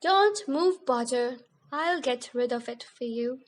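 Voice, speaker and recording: A woman in an audio drama playing a cold, sly male wizard-school professor, measured, formal and disdainful. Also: sits high, lively intonation. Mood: smug calm.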